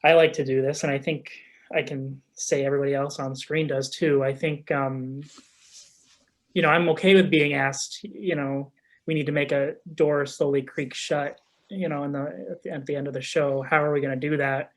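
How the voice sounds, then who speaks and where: A man's voice: fairly high pitch, steady tone, moderate pace. A man talking casually in a group conversation, easygoing and saying "you know" often. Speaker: guest.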